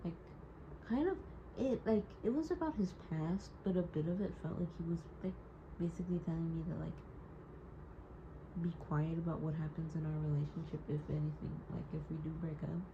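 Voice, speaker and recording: A woman's voice: low-pitched, a little fast. A young woman recording a personal audio diary, rambling and hesitant with many "like"s, hurt and wry as she holds back tears. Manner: rambling, hesitant, heavy use of "like". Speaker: audio diarist. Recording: dull lo-fi recording with clear background noise.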